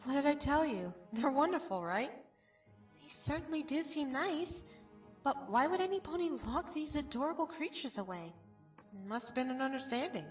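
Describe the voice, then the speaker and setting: A woman acts out a young cartoon pony, hopeful and trusting. Her voice is high and gentle, a little away from the mic, with faint music underneath. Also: sing-song, moderate pace, recorded from a distance. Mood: polite, hopeful. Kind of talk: animated fan dub voice acting.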